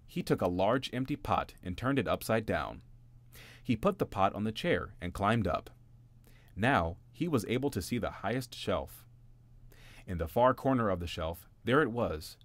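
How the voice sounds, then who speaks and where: Low-voiced man reading a bedtime story. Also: dry recording. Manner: gentle, expressive storytelling. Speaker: narrator.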